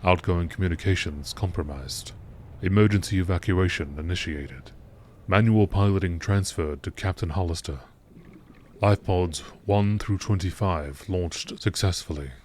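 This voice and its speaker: Male narrator, bass register, for measured documentary-style readings of scripted logs; deliberate phrasing, slight noise in the recording. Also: darkish tone. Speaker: narrator reading out video game text logs.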